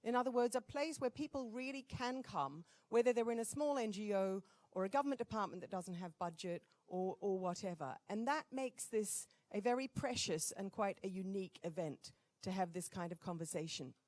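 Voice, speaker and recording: A woman dean opening a conference with a brisk, lightly joking welcome. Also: medium pitch, darkish tone, no echo. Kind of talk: welcome address.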